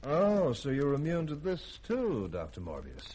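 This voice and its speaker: Husky, animated spaceship commander. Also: male voice, fairly high pitch, unhurried, heavily acted. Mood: intent. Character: bold, curious spaceship commander. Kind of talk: 1950s radio drama acting.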